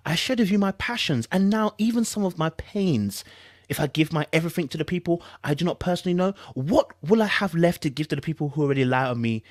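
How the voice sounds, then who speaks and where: A podcast host playing a wounded man in a grave, measured, mock dramatic reading of a breakup statement. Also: mid-range voice, animated, dry recording, studio quality, natural voice. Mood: earnest.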